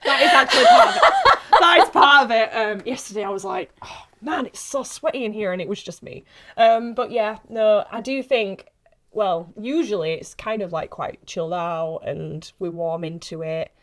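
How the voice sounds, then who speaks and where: A woman's voice: medium pitch, sing-song, moderate pace, a bit bright. A woman in her late twenties, an actress chatting casually on a podcast in a giggly, self-deprecating way. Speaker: actress being interviewed.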